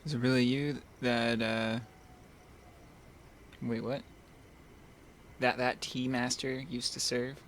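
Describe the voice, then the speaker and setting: A man's voice: mid-range voice, flat delivery, takes it slowly, long pauses, a bit bright, a little hiss or hum. A man giving casual, sarcastic commentary while playing through a game.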